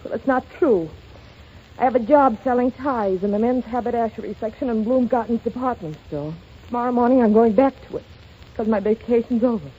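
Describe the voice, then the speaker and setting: An actress playing a young shop girl posing as a rich lady, with a refined, gently teasing manner, in a 1930s radio drama. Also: a little high, a little fast, husky. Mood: confessional. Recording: lo-fi old radio broadcast with music.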